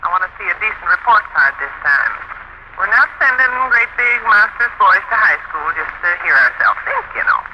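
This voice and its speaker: Actress as folksy housewife, brisk, nagging, lively intonation, vintage radio comedy, muffled noisy recording. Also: female voice, medium pitch, lightly acted. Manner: plain-spoken, folksy. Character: brisk, nagging middle-aged housewife and mother. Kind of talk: old-time radio domestic comedy acting.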